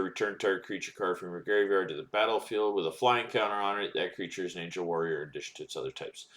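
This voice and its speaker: A man's voice: sits low, a bit bright. A man casually commenting as he opens booster packs, reading card text aloud with plenty of ums and uhs. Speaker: card-opening streamer.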